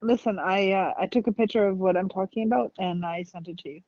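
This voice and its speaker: A woman in casual conversation, reflective and sometimes halting as she talks through feelings. Her voice comes through slightly dull and muffled, with no room echo at all. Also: mid-range voice, a little mellow. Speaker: podcast co-host.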